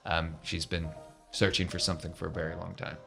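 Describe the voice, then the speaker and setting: A man with a fairly low voice narrates a tabletop game as its game master. He improvises the scene descriptions in a conversational way. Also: level tone, a little fast, creaky, a bit bright, faint music behind.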